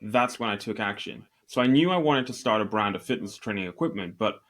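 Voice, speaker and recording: A man's voice: fairly low pitch, lively intonation, a little fast, fairly bright tone. A man from Northeast Scotland with a Scottish accent, a podcast guest telling his story in a candid, self-deprecating, conversational way. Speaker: podcast guest, e-commerce entrepreneur. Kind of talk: conversational interview answers.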